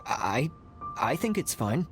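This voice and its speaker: A meek male game character speaks slowly and haltingly. He is easygoing but stammers, with music clearly audible behind. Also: mid-range voice, very animated. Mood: flustered. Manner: stammering, hesitant. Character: meek, hesitant, easygoing candidate. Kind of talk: video game voice acting.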